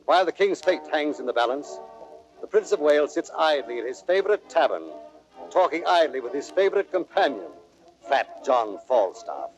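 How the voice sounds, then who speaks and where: A man's voice: a little high, sing-song, normal speed, muffled recording, soft background music. A man giving scripted dramatic narration as the narrator of a play.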